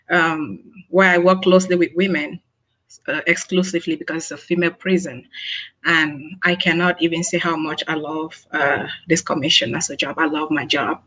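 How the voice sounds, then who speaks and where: Bright-voiced woman from Cameroon. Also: lowish voice, rough recording. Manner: earnest, with many fillers. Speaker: nurse practitioner, panelist. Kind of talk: self-introduction on a panel.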